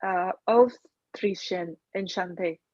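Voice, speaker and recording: A female beginner student answers haltingly, stretching her words as she searches for them. Her voice comes through dry and slightly dulled. She speaks at an ordinary pitch. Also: darkish tone, no room sound, dull audio. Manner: hesitant, halting learner.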